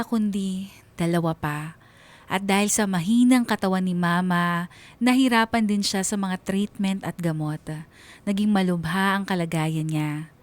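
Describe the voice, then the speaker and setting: A woman giving scripted, first-person narration for a radio drama in a sorrowful, reflective manner. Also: medium pitch. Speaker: radio drama narrator reading a listener's letter.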